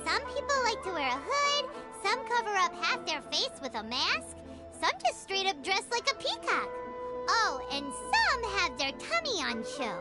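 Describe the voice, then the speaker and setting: A cheeky little fairy sidekick from a video game, with an extremely high, childlike voice. The intonation bounces up and down with excitable energy. Loud cheerful game music plays behind. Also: female voice, unhurried, heavily acted. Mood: chatty, excited. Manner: talks about self in third person.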